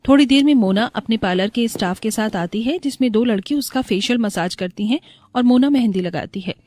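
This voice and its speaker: A woman tells a scripted moral story for bedtime. Her voice is fairly high, recorded close with no room sound. She works as a storyteller, reading in narration style. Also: brisk, darkish tone, no room sound.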